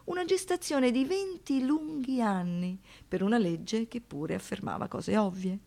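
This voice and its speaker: A woman giving scripted documentary narration for radio theatre, measured and deliberate. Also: fairly high pitch, creaky, dark tone. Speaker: stage narrator.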